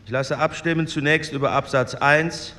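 A man's voice: fairly high pitch, fairly even intonation, normal speed, bright, forceful tone, big-room sound, slight background noise. An actor playing a 1940s committee chairman who calls votes in a formal, procedural way. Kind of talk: formal parliamentary proceedings, radio play.

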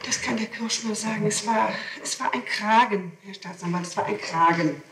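A woman's voice: mid-range voice, moderate pace. A woman answering questions in a formal interrogation, explaining herself and insisting on details as she repeats them. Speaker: person being questioned by a prosecutor. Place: reverberant room, interrogation recorded on tape. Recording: echoey archival tape, distant mic, hiss.

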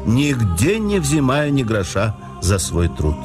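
A man narrating a memoir in a measured storytelling manner for a radio production. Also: mid-range voice, music in the background. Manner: measured storytelling with lively intonation.

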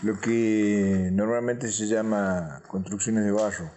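A man hosting a conversational interview, phrasing his questions in an unhurried, thoughtful way. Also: on the low side, steady tone, dark tone, a little hiss or hum. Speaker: radio interviewer and host.

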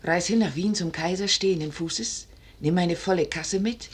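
Forceful scheming noblewoman, raspy-edged voice, theatrical declamation, insistent rhetoric, 1950s radio play. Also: lowish voice, moderate pace. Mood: urgent, persuasive. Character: forceful, scheming noblewoman urging a wavering commander to seize power. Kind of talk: 1950s German radio drama, classical stage declamation.

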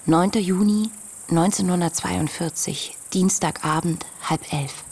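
Radio drama diarist: young woman, searching and contemplative, deep register with plenty of fry. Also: a little mellow, a little hiss or hum. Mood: earnest, reflective. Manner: contemplative, measured, intimate. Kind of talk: radio play, diary read as monologue. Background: music.

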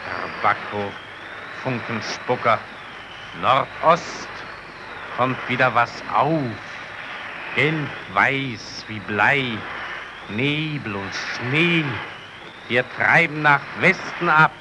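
A gruff Italian polar explorer in a radio drama. He speaks slowly at a middling pitch, grumbling and needling his comrade with oaths. The recording is clearly noisy. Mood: weary. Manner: grumbling, teasing, peppering talk with Italian oaths. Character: gruff, cursing Italian expedition comrade stranded on ice. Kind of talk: German radio play acting.

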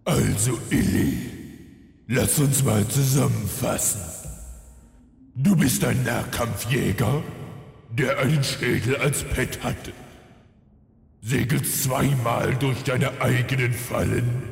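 A man's voice: mid-range voice, slow, gravelly, pauses often. A man playing a weary undead villain lord with dry, condescending sarcasm in a fantasy parody audio drama. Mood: dry mockery. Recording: long echoing reverb, music behind.

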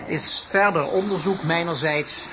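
A man playing a smooth, swindling professor in a radio play, stammering, repeating himself and fawning with false reassurance. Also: on the high side, fairly even intonation, a little slow, noisy background, close to an everyday voice. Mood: fawning, flustered. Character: smooth, swindling, flustered male university professor.

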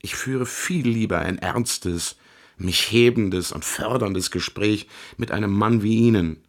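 Creaky, low-voiced, self-pitying gentleman artist. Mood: aggrieved, confessional. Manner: confiding, theatrical, halting self-justification, with small laughs. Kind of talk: radio drama monologue.

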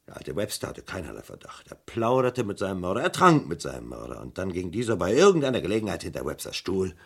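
An actor playing a suspicious, methodical police detective in a German crime radio play, speaking deliberately and with pointed emphasis. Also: male voice, low voice, sing-song, gravelly, a bit bright, husky, exaggerated voice. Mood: deducing, emphatic. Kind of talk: German crime radio play acting, mid to late 20th century.

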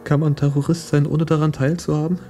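An idealistic young male revolutionary from a radio play , played by an actor. His voice sits a little high, earnest and declamatory, full of sensitive conviction. Faint music runs behind his words. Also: flat delivery, fast talker, echoey room, lightly acted. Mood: impassioned. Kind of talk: German radio play acting.

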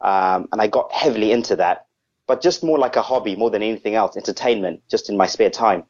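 A man's voice: on the low side, a little fast, darkish tone. Hesitant man on a dull remote line. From Zimbabwe. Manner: hesitant, with many ums and restarts. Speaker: interview guest. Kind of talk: casual interview answers.

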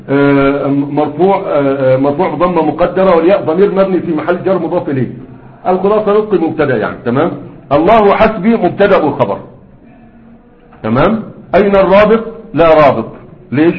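Fast-talking Egyptian teacher, echoey phone sound. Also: male voice, fairly high pitch, narrow, tinny audio. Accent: Egyptian Arabic. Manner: quizzing students with prompting questions. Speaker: grammar teacher. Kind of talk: classroom lecture on grammar. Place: classroom with students.

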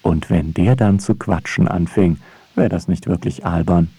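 A man's voice: low-pitched, moderate pace, heavy background noise. A man reading a scripted radio feature as its narrator, with a wry, rhetorical way of putting things. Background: music.

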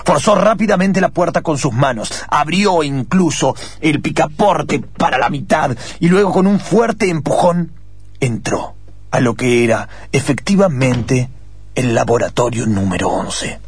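A man's voice: on the high side, animated, measured pace, breathy edge, no room sound. A man with an Argentine accent narrating a suspense story dramatically, as in a radio play.

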